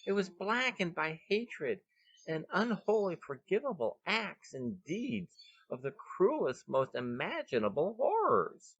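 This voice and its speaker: A man voicing a dazed, haunted young woman back from death, speaking in a halting, ominous trance for a story podcast. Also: high-pitched, husky, no room sound, pitched above the performer's own voice, full character voice, poor audio.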